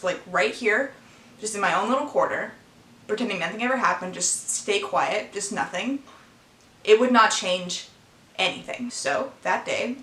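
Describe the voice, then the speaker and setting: Young woman, late teens, bright voice, earnest, emotional, halting, confessional vlog, echoey bedroom. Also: mid-range voice. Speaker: vlogger. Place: her own room. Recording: echoey room sound.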